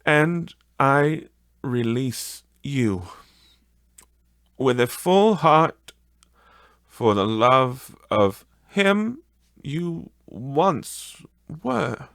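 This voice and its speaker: A man voicing a gentle, sorrowful young Victorian woman in an audiobook, speaking in a deliberate, drawn-out way. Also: mid-range voice, animated, creaky, no room sound, clean studio sound, pitched above the performer's own voice, heavily acted. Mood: resigned. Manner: soft, deliberate, drawn-out phrasing. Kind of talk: audiobook character voice.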